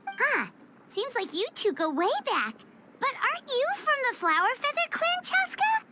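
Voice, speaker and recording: Squeaky, very high childlike companion. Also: female voice, huge pitch swings, moderate pace, heavy background noise, heavily acted. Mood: curious. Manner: exclamatory, inquisitive. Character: tiny, childlike, chirpy, curious floating companion. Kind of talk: English video game voice acting. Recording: loud game music underneath. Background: music.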